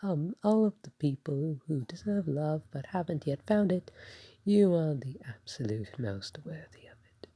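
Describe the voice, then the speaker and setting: A woman playing a bashful, doting god of love in an ASMR roleplay, speaking softly and breathily with a hesitant, tender, playful manner. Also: low voice, lively intonation, unhurried, no room sound, heavily acted. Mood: apologetic, earnest. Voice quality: soft, breathy, partly whispered. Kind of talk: ASMR roleplay spoken to the listener.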